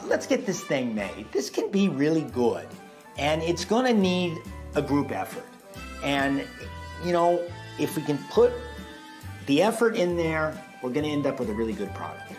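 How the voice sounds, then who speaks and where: A woman's voice: low-pitched, big pitch swings, normal speed, darkish tone, faint music behind. A woman giving an informal testimonial as a supporter. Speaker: campaign supporter.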